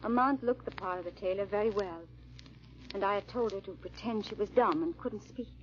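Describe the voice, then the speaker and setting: A woman's voice: medium pitch, brisk, a little hiss or hum, lightly acted. Woman acting scared young noble lady in an old radio play, breathless and formal, dull lo-fi sound. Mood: grave narrating. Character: frightened young noblewoman fleeing her murderous husband, also narrating. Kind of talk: 1940s radio drama acting. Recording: muffled vintage radio recording.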